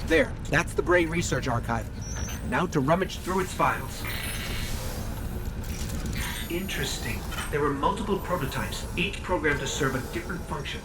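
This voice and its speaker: Man voicing a quippy, high-voiced little robot companion. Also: animated, moderate pace, long pauses, background noise, natural voice. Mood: wry, curious. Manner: wry, chatty, playful. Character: small robot companion, male, quippy, inquisitive and bright. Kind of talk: video game character acting. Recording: distant voice under loud music.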